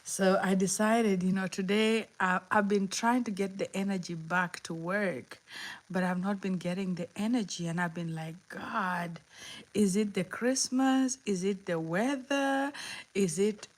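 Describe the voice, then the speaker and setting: A woman's voice: mid-range voice, measured pace. A woman in her fifties with a Kenyan accent, hosting a casual live chat. She talks in a chatty, encouraging way, laughs often and answers viewers' comments. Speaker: livestream host.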